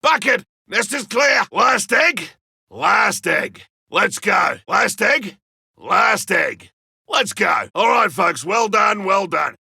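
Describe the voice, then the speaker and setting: An actor playing a gruff, cocky, battle-hardened monster hunter in a video game, with a raspy, gravelly voice, barking urgent combat callouts. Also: male voice, high-pitched, big pitch swings, slow pace, pushed and bright, dry recording, raised voice, studio quality, full character voice. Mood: excited, commanding. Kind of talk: video game character voice lines.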